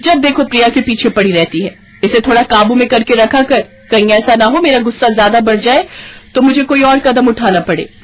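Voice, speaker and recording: A woman's voice: on the high side, brisk, natural voice, rough recording. A woman voicing a haughty rich mother who scolds in a bossy manner in a moral story. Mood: scolding.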